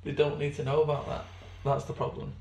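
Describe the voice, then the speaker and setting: A man co-hosting a podcast in casual banter, his voice slightly hoarse, with a dry, teasing manner. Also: fairly high pitch, completely flat delivery, fast, a little mellow, echoey room. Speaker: podcast co-host.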